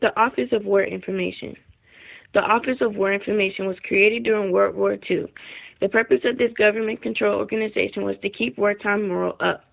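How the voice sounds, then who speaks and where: A woman's voice: mid-range voice, steady tone, a little fast, vocal fry. A woman reading scripted documentary narration on a muffled, narrow-band recording.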